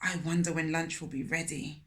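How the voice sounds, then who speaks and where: A woman voicing a greedy, eager male spider from a children's storybook, in an expressive, self-satisfied way. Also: low-pitched, moderate pace, voice pushed down. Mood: musing.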